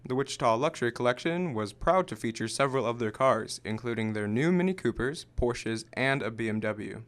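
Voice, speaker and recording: A man reports for a student news show, reading his lines in a measured way. His voice is smooth and resonant. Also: medium pitch, lively intonation, fairly bright tone.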